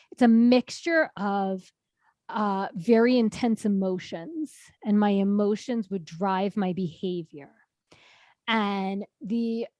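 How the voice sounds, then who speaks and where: A woman in her thirties co-hosting a podcast in casual chat, animated and quick to laugh. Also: mid-range voice, dry recording, muffled recording. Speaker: podcast co-host.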